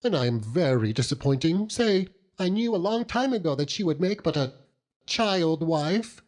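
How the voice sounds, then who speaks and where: A man's voice: fairly high pitch, expressive, moderate pace, darkish tone, voice pushed up, natural voice. A man voicing a sweet, childish, doting young wife in an audiobook, pleading and self-deprecating. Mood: pleading, tender.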